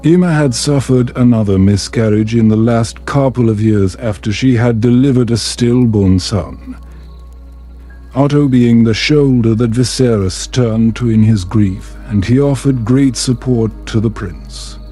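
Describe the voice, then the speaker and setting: A man tells a bedtime story in a soothing, measured style. His voice is mellow and relaxed, with a medium pitch, and he takes his time over the scripted narration. Soft music plays behind him. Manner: soothing, measured, unhurried delivery.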